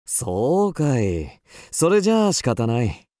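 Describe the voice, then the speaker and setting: Radio drama: actor as genteel husband, teasing and condescending, dark-toned, lively intonation. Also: male voice, mid-range voice, slow, no echo. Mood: affectionate, teasing. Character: suave, indulgent upper-class husband, gently chiding.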